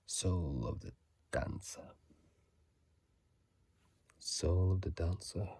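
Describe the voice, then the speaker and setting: A man whispering softly and closely into a phone mic in an unhurried ASMR style, reading and chatting casually about a video game. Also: low voice, lively intonation, gravelly, breathy edge.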